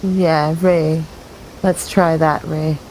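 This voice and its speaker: A woman's voice: deep voice, level tone, very slow, darkish tone, slight background noise, rough recording. A woman playing in a tabletop game, talking casually and cautiously with the group. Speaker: player.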